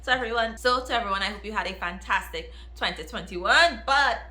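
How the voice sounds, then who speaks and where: A woman talks casually to her viewers in the style of a book review. Her voice is bright and forceful, and she is expressive, often repeating phrases to drive them home. The recording is roomy, with noticeable echo. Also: mid-range voice, a little fast. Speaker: book reviewer, video host. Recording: roomy, echoey room.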